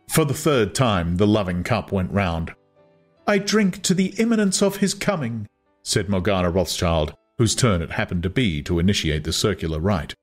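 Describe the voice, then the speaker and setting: A man narrating an audiobook in a measured, dramatic style. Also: deep voice.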